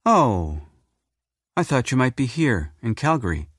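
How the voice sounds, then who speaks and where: A man's voice: medium pitch, very animated, measured pace, fairly bright tone, slightly rough, no echo, studio quality, pitched above the performer's own voice, heavily acted. A man voicing a grown daughter on the phone with her father, eager and affectionate.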